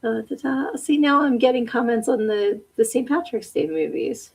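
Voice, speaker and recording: Chatty husky-voiced woman. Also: a little high, lively intonation, normal speed. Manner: chatty, laughs often, talks back to the chat. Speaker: podcast host reading live comments. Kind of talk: casual live-stream chat.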